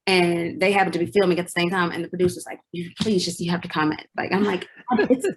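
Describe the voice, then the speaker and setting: Woman in her 40s, singer on a chat show, lively storyteller, laughs a lot, acts out quotes. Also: lowish voice, quick pace, noisy background. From United States. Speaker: singer, interview guest. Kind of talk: casual interview chat.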